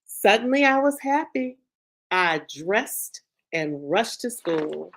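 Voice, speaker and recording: A middle-aged woman who writes children's books, reading her story aloud with lively pitch swings and then chatting casually, leaning on "you know.". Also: takes it slowly, rough recording. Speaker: children's book author. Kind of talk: reading her picture book aloud, then interview chat. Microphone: video call.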